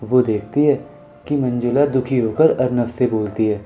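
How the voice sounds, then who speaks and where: A man narrating an animated moral story in a scripted storytelling style. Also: medium pitch, moderate pace, dull audio. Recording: music under the voice.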